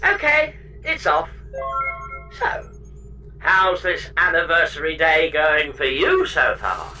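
A woman's voice: deep voice, very animated, slow pace, distant mic, over music, full character voice, poor audio. A woman playing a chatty, scatterbrained, possessive spaceship computer in a comedy sci-fi audio drama, with breezy theatrical delivery.

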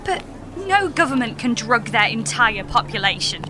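A woman's voice: fairly high pitch, big pitch swings, moderate pace, noisy background. A woman playing an exasperated, naive and incredulous space traveller in an audio comedy drama. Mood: baffled, doubting. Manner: incredulous, indignant, plain-spoken.

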